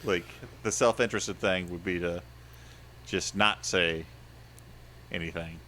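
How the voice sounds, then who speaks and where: A man's voice: low voice, unhurried, pushed and bright, a little hiss or hum. A man co-hosting a comedy podcast in casual chat, rambling and self-deprecating, often laughing at himself. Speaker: podcast co-host.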